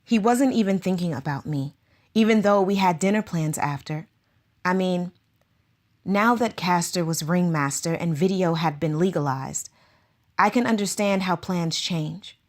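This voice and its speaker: An actress playing a conflicted teacher of circus students, speaking softly and reflectively in an audio drama. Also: female voice, on the low side, a little slow, natural voice. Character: female circus school teacher, conflicted and wistful.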